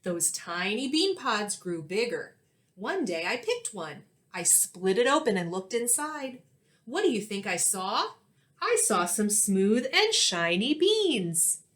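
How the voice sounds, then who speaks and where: Expressive woman teacher reading to children. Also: medium pitch, slow pace. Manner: clear, expressive, instructive delivery for young children. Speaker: elementary school teacher. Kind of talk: children's storybook read-aloud and lesson. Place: small indoor classroom-like room.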